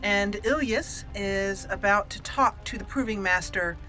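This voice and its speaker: A woman's voice: medium pitch, measured pace, sharp tone, soft background music, low-quality recording. A woman hosting a chatty video game let's play with roleplay, in a playful manner. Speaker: YouTube game streamer, channel host.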